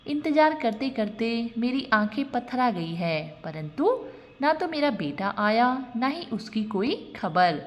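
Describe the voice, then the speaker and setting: Woman voicing a sorrowful old mother, reverberant. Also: fairly high pitch. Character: abandoned old mother, resigned, sorrowful, devout, wary. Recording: long reverb on the voice.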